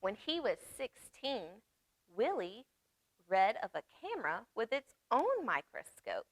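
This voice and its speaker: A woman reading aloud at storytime for young children, expressive, pausing to explain and ask questions. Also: a little high, no echo. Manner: expressive, asks listeners questions and explains words. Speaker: children's library storytime reader.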